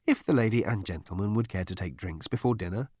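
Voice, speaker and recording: A man's voice: mid-range voice, sing-song, a little fast, dry recording, studio quality, close to an everyday voice. Man playing a small, obsequious, wizened alien waiter. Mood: polite, patient. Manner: obsequious, formal, very distinct enunciation. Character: small wizened green alien waiter, polite, patient, tightening into sarcasm. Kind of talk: audiobook character voice.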